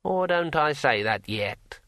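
Storybook undead elder: brittle old voice, very slow and halting, swooping pitch, musing and muddled. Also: male voice, animated, bright, forceful tone, no echo, studio quality, full character voice. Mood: musing, conversational. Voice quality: brittle, aged. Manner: slow, halting, drawn-out delivery. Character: slumped dead old man, conversational, rambling, confused about time.

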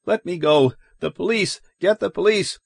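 A man's voice: on the high side, big pitch swings, a little slow, no room sound, clean studio sound, voice pushed up, heavily acted. A man voicing a horrified male shopkeeper who is agitated, exclamatory and increasingly hysterical in a story reading. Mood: frantic, screaming.

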